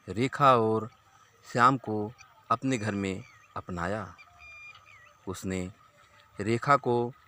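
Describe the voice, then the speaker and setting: A male storyteller narrates a simple moral tale at an extremely slow pace. His voice is bright and forceful. He delivers the story deliberately, breaking it into short phrases for his listeners. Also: medium pitch.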